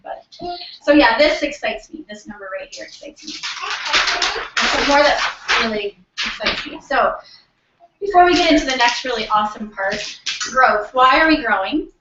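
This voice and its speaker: High-voiced enthusiastic woman in a roomy recording. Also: animated, normal speed. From Canada. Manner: enthusiastic, conversational, addresses the audience with questions. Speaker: presenter at a company team meeting. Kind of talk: informal motivational business presentation. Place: room in a house, live audience. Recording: roomy, distant, dull, noisy live-feed recording.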